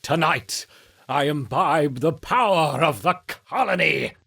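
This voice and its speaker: A man playing a frantic, scheming mad scientist obsessed with his ants in a video game, raspy and theatrical, muttering to himself. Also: fairly high pitch, animated, takes it slowly, pushed and bright, full character voice. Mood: grandiose. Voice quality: raspy, husky. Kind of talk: video game character acting.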